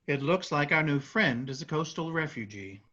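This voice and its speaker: A woman's voice: sits low, animated, normal speed, fairly bright tone. A woman playing a friendly, easygoing travelling actor in a staged play reading. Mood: friendly, wry. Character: friendly, open-minded troupe member from Atlanta. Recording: muffled room recording.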